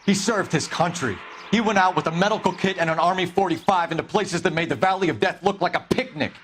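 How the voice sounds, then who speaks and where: An actor playing an intense, righteous police detective with a forceful, commanding manner in a video game cutscene. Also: male voice, sits high, fast talker, a little hiss or hum. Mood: angry, defiant.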